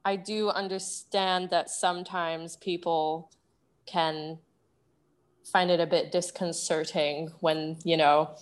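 A young woman from the UK, raised in Asia, with a British accent tinged by Singaporean English, talking things through thoughtfully with many pauses and restarts. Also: fairly low pitch. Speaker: guest. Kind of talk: conversational interview.

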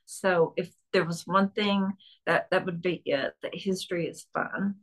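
Woman with a Tennessee drawl, lower register, chatty podcast guest. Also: measured pace, a bit bright. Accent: Tennessee Southern. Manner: chatty, friendly, with frequent restarts. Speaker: guest author and historian. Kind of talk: casual podcast interview.

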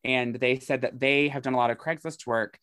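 A man's voice: mid-range voice, fairly even intonation, brisk, a bit bright, clean tone, no echo. A man co-hosting a casual podcast, speaking informally with swearing and repeating phrases for emphasis. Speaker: podcast co-host. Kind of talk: casual chat about horror movies.

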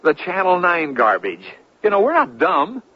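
A man's voice: high voice, wildly swooping pitch, moderate pace, bright, forceful tone, exaggerated voice. A man acting in a 1970s radio drama as a scoffing skeptic who needles his friend with mocking sarcasm. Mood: scornful. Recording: muffled old radio recording, crowd behind.

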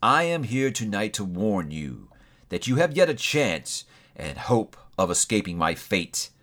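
A man's voice: on the low side, sing-song, a bit bright, exaggerated voice. A man voicing a mournful old ghost in chains. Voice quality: slow, heavy, mournful delivery. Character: doomed old male ghost, solemn and grieving.

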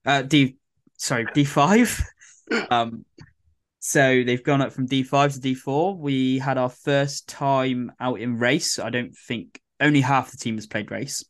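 Brief: male podcast co-host, croaky, chatty and rambling, mid-pitched. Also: fairly even intonation, measured pace. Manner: rambling, many fillers and restarts. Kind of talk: casual podcast chat.

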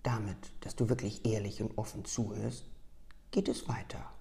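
Female storyteller, hushed whispered reading, slow and soft, husky low register with fry. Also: steady tone.

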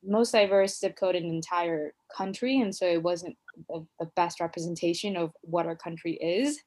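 A woman's voice: on the low side, normal speed, a little mellow. A woman co-hosting a podcast, telling her own story in a reflective, casual way with many fillers and restarts. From United States. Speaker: podcast co-host.

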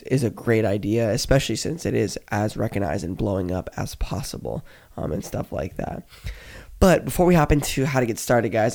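A young man hosting a casual podcast, his voice a bit hoarse and raspy, chatting loosely with lots of fillers and calling his listeners guys. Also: medium pitch, warm tone. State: slightly hoarse voice. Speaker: podcast host. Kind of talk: casual solo podcast talk.

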